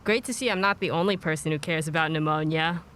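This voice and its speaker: A woman's voice: sits low, quick pace, a bit bright, slight background noise. A woman playing a chatty, fussy neighbour in an audio drama. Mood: chatty, fussing.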